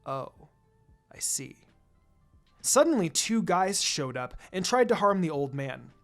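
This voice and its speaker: A narrator reads a scripted story in the first person. The pitch sits in a middle register and moves in an animated way, with music playing faintly behind the voice. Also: moderate pace. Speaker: fan fiction narrator.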